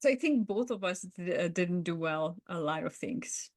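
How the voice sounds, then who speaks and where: A woman's voice: lowish voice, lively intonation, normal speed, a little mellow. A woman co-hosting a podcast, talking in a conversational, reflective way as she explains her observations. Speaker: podcast co-host. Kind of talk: conversational debrief of a roleplay.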